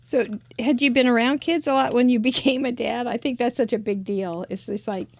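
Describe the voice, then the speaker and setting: Host, woman, middle-aged, squeaky voice with fry, chatty and laughing. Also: steady tone, a little fast, no room sound, studio quality. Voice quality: squeaky high voice. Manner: chatty, laughs often, trailing restarts. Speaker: host of a live crafting show. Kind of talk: casual interview chat.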